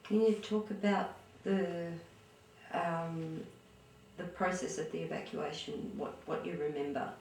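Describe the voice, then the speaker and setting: A woman interviewer with a gravelly edge asks brief, prompting questions. She is heard from well off the mic in a strongly echoing room. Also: sits low, fairly even intonation, measured pace, a little mellow, slight background noise. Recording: very echoey room, distant mic.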